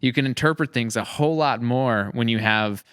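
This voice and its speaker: A man with a clear, smooth voice at a medium pitch hosts a casual podcast. He talks in an enthusiastic, rambling flow, like someone chatting with colleagues. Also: normal speed, fairly bright tone, no echo, clean studio sound. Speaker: podcast host.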